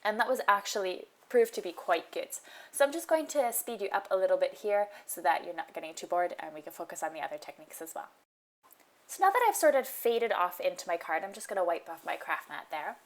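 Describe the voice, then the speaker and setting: A woman's voice: fairly low pitch, brisk. A woman hosting a card-making tutorial, talking casually through each step in a voice-over, sounding nasal from a cold she is getting over. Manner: chatty, explains each step, frequent ums.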